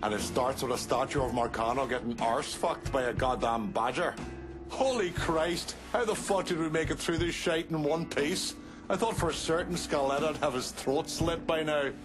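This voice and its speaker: An actor playing a profane, embittered middle-aged Irish mobster in a video game cutscene, blunt and coarse. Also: male voice, fairly high pitch, normal speed, close to an everyday voice. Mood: gleeful. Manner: blunt, coarse, swearing freely. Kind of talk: dramatic game cutscene acting. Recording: music behind the voice.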